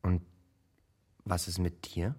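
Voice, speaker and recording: An actor playing a dutiful, restless young man in a radio drama, speaking quietly and earnestly with a breathy voice. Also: deep voice, little pitch movement, slow, full character voice. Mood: wistful. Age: young adult. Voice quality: breathy, husky. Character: Patrick, dutiful, restless young son caring for mother. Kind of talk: naturalistic German radio drama acting.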